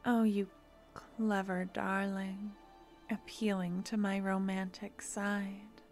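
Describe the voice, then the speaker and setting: A young woman voicing a doting, composed necromancer girlfriend in an ASMR fantasy roleplay, speaking softly and intimately, slipping into whispers close to the mic. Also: medium pitch, little pitch movement, slow pace, faint music behind, close to an everyday voice. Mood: confiding. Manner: soft, intimate, drifting in and out of whispers. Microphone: close ASMR microphone.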